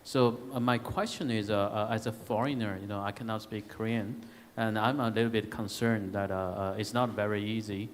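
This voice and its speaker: Low-voiced Hong Kong man, echoey hall. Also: fairly even intonation, moderate pace, hardly any pauses, slight background noise. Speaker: audience member asking a question. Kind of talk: spontaneous question at a conference.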